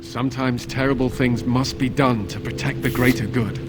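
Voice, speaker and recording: An actor playing a stern, dutiful captive knight in a fantasy video game, grave and formal. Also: male voice, mid-range voice, steady tone, moderate pace, over music, close to an everyday voice. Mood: grim resolve.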